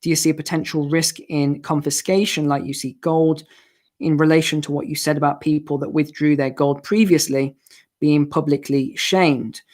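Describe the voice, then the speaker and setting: Male host, hoarse, live Q&A stream, chatty explainer. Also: on the high side, fairly even intonation, moderate pace, a little mellow. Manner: explanatory, chatty, with restarts and asides. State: hoarse, losing his voice. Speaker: finance channel host. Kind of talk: live-stream Q&A, answering viewer questions.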